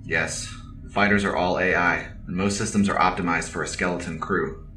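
A stoic, battle-hardened military officer in an audio drama. The voice is low and deadpan, every answer terse and literal, sounding roomy and set back from the mic. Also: normal speed, far from the mic, a little hiss or hum. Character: stoic, deadly, loyal veteran military officer.

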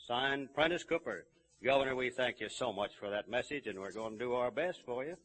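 Bright, folksy radio host, a man. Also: mid-range voice, animated, brisk, low-quality recording. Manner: folksy, emphatic delivery. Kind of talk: 1930s live radio hosting. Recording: vintage 1930s radio broadcast recording.

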